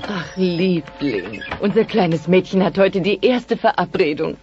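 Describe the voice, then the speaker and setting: Motherly dinosaur housewife with lively melody. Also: lowish voice, a little slow, loud music behind the voice. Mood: cheerful. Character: caring, proper middle-aged dinosaur housewife mother. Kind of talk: German audio play dub of comedy series. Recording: dull tape transfer with hiss.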